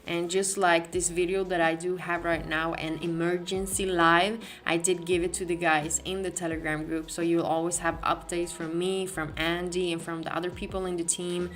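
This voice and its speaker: A woman's voice: on the low side, flat delivery, moderate pace, hardly any pauses, fairly bright tone. A woman from Sweden with a Swedish accent, hosting a live crypto market stream, talking casually with many restarts and fillers as she reads the chat. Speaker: crypto livestream host.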